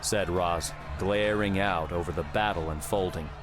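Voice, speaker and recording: A man's voice: low voice, fairly even intonation, normal speed. A man reading scripted third-person fiction as an audiobook narrator. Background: prominent music with outdoor ambience.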